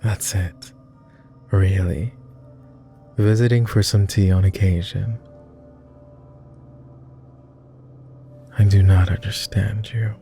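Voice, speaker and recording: A man playing a lonely, gentle vampire who speaks formally and haltingly in an intimate ASMR roleplay. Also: deep voice, steady tone, soft background music. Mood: wry, subdued. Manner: formal, old-fashioned wording with halting, hushed delivery. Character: clingy lonely male vampire, courtly, gentle, easily flustered.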